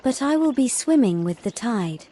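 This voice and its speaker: Female actor as naive, headstrong mermaid: mellow, fairly high voice, oddly formal speech. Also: expressive, normal speed, a little hiss or hum, close to an everyday voice. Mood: defiant. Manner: stilted, formal phrasing without contractions. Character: defiant, naive young mermaid turned human woman. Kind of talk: fantasy audio drama acting.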